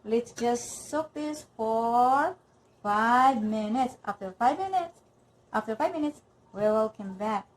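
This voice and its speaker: A woman's voice: a little high, expressive, slow. A woman from the Philippines with a Filipino accent, chatting her way through a cooking demonstration in a home kitchen and saying okay between steps. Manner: chatty, walks through each step, says okay often. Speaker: cooking vlogger.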